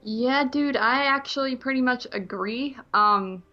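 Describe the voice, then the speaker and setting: A woman's voice: sits high, measured pace, dull audio. A woman co-hosting a movie podcast in casual, rambling conversation, hedging as she goes.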